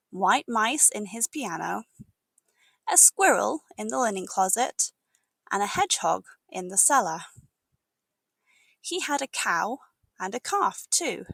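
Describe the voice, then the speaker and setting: A British woman reading a storybook aloud as an English teacher, with expressive, deliberate narration for learners. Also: mid-range voice, gravelly, no echo.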